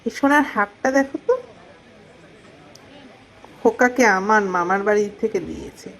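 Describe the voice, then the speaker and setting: A woman's voice: a little high, moderate pace, a bit bright, natural voice, rough recording. A woman acting a smug, boastful young mother and spiteful sister-in-law in a horror audio drama.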